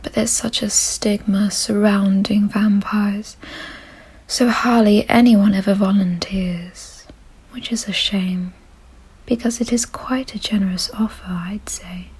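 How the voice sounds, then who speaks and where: A woman voicing a gentle, refined, doting vampire mistress in a soothing, softly possessive ASMR roleplay whisper. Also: medium pitch, fairly even intonation, takes it slowly. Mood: calm explaining. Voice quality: breathy, husky whisper.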